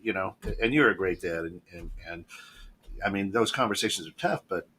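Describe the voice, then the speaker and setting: A man from Poland, hosting a casual talk radio chat with halting restarts. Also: low voice, expressive, sharp tone, breathy edge. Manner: halting, with many restarts and laughs. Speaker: radio show host.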